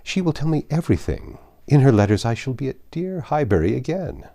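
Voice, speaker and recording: A man playing an embarrassed, earnest young gentleman suitor in a classic novel reading, speaking politely and haltingly. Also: mid-range voice, sing-song, voice pushed up. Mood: warm, eager.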